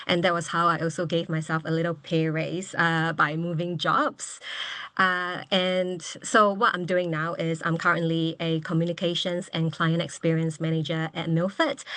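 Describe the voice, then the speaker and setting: A woman's voice: lowish voice, fairly bright tone, dry recording. A woman in her thirties from Singapore, speaking as an MBA graduate guest on a webinar. She talks frankly and cheerfully over a video call, and laughs easily. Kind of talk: candid webinar testimonial.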